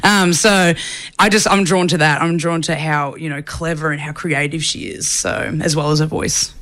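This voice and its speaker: A woman's voice: deep voice, steady tone, moderate pace, fairly bright tone. A young woman from country Australia, a singer answering interview questions in a chatty way, full of fillers. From rural Australia. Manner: chatty, many fillers and restarts.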